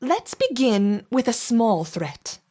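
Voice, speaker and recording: A bossy lady scientist in a game, highish voice, swoopy pitch, clinical, curious. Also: sing-song, slow, dark tone, slightly rough, heavily acted. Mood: clinical curiosity. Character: female scientist in charge, coolly curious. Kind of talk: scripted game dialogue.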